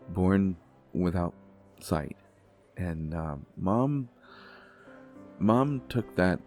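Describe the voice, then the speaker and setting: Audio roleplay date: gentle, bashful god of desire played by a man, deep and unhurried, stammering politely, faint music behind. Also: gravelly, full character voice. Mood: reflective, wistful. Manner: hesitant, stammering, softly polite. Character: shy, gentle, blind young male god of desire.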